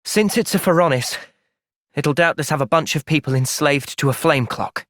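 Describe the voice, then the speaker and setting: A man's voice: fairly high pitch, moderate pace, fairly bright tone, no room sound, clean studio sound, lightly acted. A young man with a British accent playing an earnest, thoughtful, polite and determined young hero in video game cutscenes.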